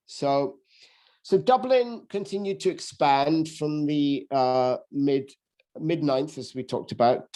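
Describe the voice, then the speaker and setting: A man lectures on history at an unhurried, slow pace, often stopping to restart his sentences. His voice is dark and mellow, sitting at an ordinary middle pitch. He talks in a loose, off-the-cuff way rather than reading. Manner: halting, many ums and restarts. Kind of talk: unscripted history talk. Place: lives in England.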